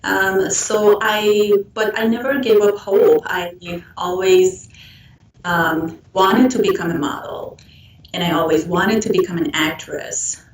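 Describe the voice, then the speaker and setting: A woman from Iran with a Persian accent and Persian as her first language, answering interview questions in a halting way with frequent pauses. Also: medium pitch. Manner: halting, pausing often between phrases. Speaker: interviewed model. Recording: roomy, distant mic with background noise.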